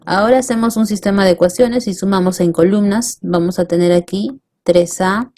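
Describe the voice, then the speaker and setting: A woman's voice: medium pitch, mellow. Patient woman teaching a math lesson. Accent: Peruvian Spanish. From Peru. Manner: slow, explanatory, reads problems aloud then works them through. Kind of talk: step-by-step problem solving lesson.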